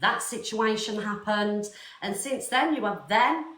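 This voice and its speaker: A woman's voice: medium pitch, unhurried, fairly bright tone. A woman in a live online argument, speaking defensively and emphatically and repeating her points. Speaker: livestream debate participant. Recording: echoey room sound.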